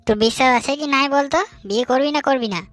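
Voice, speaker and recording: Man doing a high-voiced bossy cartoon village lad. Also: racing, fairly bright tone, dry recording. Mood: bossy, teasing. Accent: rural West Bengal. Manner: bossy, teasing. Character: bossy young village man leading his friends. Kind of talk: comic cartoon dialogue.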